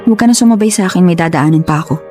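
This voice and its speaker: An actress playing a conflicted young woman in a Filipino radio drama, protective of her sister, emotional and quick to scold. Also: fairly low pitch, warm tone, lightly acted. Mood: reluctant, appeasing. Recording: music under the voice.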